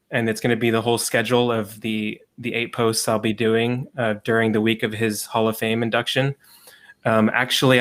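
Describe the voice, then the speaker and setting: A man hosting a casual livestream over a video call, relaxed and chatty, with frequent ums and laughs. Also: medium pitch. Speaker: livestream host and sports writer.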